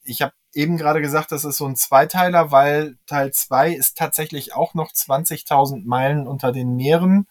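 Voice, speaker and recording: A man with a moderately high voice speaks as an expert guest in a podcast discussion. He explains his view at length, searching for words now and then as he builds his review.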